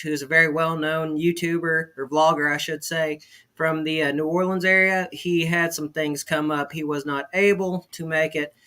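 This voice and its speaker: A man's voice: a little high, pushed and bright. A man hosting a casual online interview, upbeat and chatty with plenty of fillers. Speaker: interview host.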